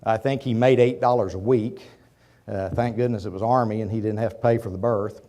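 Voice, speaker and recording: A man's voice: on the low side, fairly even intonation, fairly quick, dark tone. A man in his fifties from Oklahoma, losing his voice to a cold, giving a rambling, conversational lecture in a university auditorium with frequent asides to the audience. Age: 50s. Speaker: guest lecturer, businessman. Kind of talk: folksy public lecture.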